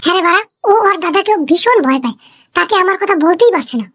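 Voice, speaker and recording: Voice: very high voice, expressive, quick pace, fairly bright tone, heavily acted. A performer voicing a nervous young man, the thug's anxious friend, in a comic cartoon skit with music behind. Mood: worried. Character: nervous squeaky young male friend of a thug.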